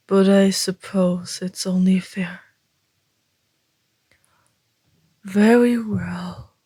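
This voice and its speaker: Ancient vampire for ASMR roleplay , female performer: extremely unhurried, soft mellow tone, breathy whispers, calm and flirtatiously mysterious. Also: mid-range voice, no echo. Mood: coy, amused. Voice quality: breathy, often dropping into whisper. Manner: slow, deliberate, teasing, with long pauses. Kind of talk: ASMR roleplay, intimate scripted monologue.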